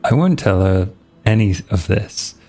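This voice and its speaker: A cocky, devoted man in a tabletop horror game, played by a man with a low, gravelly creak. He talks in clipped, casual phrases, defiant yet sincere, over background music. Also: animated, a little slow, dark tone.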